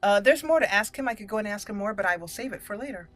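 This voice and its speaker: A woman hosts a game playthrough in a medium-pitched voice. She mixes deliberate roleplay lines with casual commentary, over faint game music. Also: animated, a bit bright. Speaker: gaming video host.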